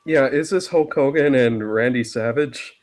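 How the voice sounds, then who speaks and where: A man with a medium-pitched voice makes casual commentary as a guest. His talk is loose and joking, like chatting with a friend. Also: unhurried, faint music behind. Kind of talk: casual chat.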